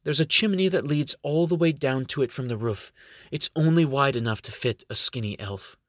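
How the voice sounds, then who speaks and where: A man voicing a calm, matter-of-fact female guide. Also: mid-range voice, moderate pace, no room sound, clean studio sound, close to an everyday voice.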